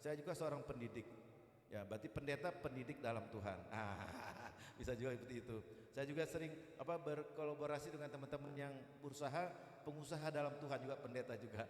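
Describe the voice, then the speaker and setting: A man's voice: mid-range voice, fast talker. Echoey man preaching informally. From East Kalimantan, Indonesia. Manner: chatty and jocular, with repeated "gitu" tags. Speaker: guest evangelist and preacher. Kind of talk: informal church sermon. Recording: echoey hall, long reverb.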